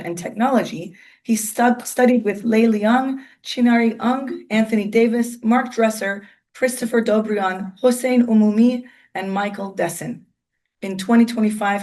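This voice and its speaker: A woman hosting an event, reading a prepared introduction of the speaker in a measured, formal way. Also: mid-range voice, a little mellow. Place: lecture hall.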